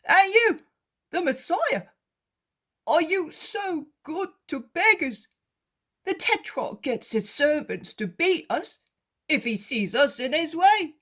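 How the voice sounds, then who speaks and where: A man's voice: extremely high pitch, very animated, slow, bright, forceful tone, voice pushed up, full character voice. A woman voicing a poor, humbled male beggar who pleads and repents. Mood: earnest. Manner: earnest, pleading.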